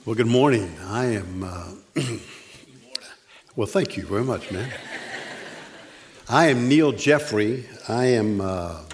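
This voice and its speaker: An older man giving a sermon as a guest preacher, speaking with a stutter, frequent pauses and restarts, and dry self-deprecating humor. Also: on the low side, lively intonation. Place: church sanctuary.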